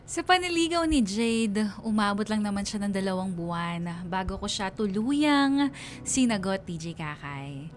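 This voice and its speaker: A woman's voice: mid-range voice, animated, measured pace, faint music behind, lightly acted. A woman playing a heartfelt young writer telling her own love story as radio drama narration. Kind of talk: first-person radio drama narration, letter to DJ.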